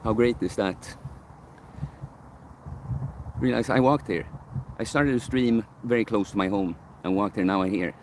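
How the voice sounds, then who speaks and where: A young man with a deep bass voice chatting casually outdoors while livestreaming a Q&A, rambling and correcting himself as he thinks aloud. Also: unhurried, long pauses, a little hiss or hum, rough recording. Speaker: coach streaming live Q&A. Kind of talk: casual live-stream chat answering viewer questions. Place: outdoors in a park near sunset. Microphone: phone camera held by the streamer.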